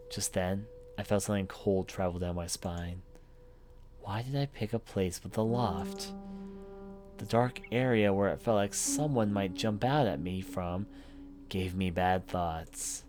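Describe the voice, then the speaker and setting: Low-voiced man narrating horror over music. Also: unhurried. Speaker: let's player reading a visual novel aloud. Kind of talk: dramatic first-person story narration for a horror game playthrough. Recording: music behind the voice. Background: game music.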